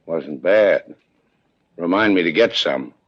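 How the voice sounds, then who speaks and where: A battered, stubborn sea skipper in a 1950s radio drama. His very low voice is terse and hard-boiled, aching and tired. The sound is old and muffled. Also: lively intonation, slow pace, heavily acted. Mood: gruff, dry. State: in pain, worn out from a beating. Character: tough, battered, stubborn male boat skipper. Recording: dull vintage 1950s radio recording.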